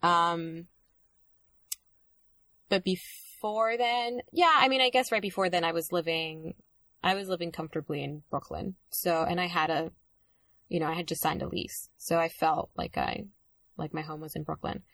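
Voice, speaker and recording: A young woman speaking reflectively in casual conversation, with many pauses and restarts. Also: fairly low pitch, fairly even intonation, a bit bright, dry recording. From Dominican Republic.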